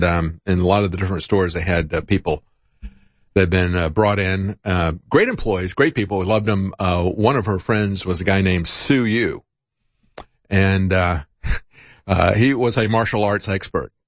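A middle-aged man with a fairly low voice talks as a show host giving informal commentary. His delivery is conversational and meandering, moving loosely from aside to aside. The sound is dry and close, with very little room in it. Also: moderate pace, dry recording. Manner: rambling, conversational, chuckles at his own asides. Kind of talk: informal spoken news commentary.

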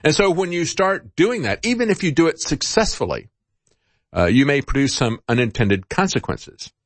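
A man's voice: fairly high pitch, big pitch swings, moderate pace, no room sound. A middle-aged man hosting a talk show, giving informal, rambling, conversational news commentary. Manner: rambling, conversational, chuckles at his own asides.

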